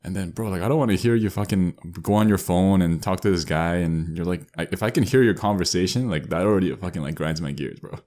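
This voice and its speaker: A man's voice: low-pitched, fast talker, few pauses, a little mellow. A young man co-hosting a podcast, griping casually in blunt, sweary slang. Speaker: podcast co-host.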